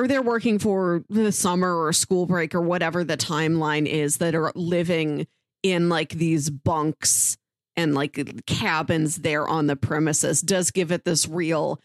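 A woman's voice: lowish voice, dry recording, clean studio sound. A woman co-hosting a podcast, chatting casually as she reviews a show. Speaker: podcast co-host.

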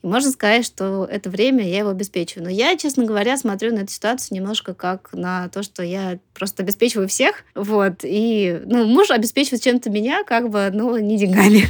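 A woman's voice: mid-range voice, a little fast, few pauses, husky, dry recording. A woman in her thirties speaking as a podcast guest in a casual interview, informal and hedging as she thinks aloud. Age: 30s.

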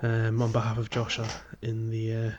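A man hosting a casual gaming podcast, recapping a playthrough in halting phrases with pauses to think. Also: on the low side, completely flat delivery, dull audio. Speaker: podcast host.

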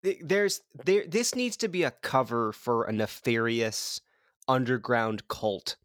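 Female co-host, very deep voice, animated comedic riffing, dry close recording. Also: a little slow, dry recording. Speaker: podcast host. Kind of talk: casual comedic chat.

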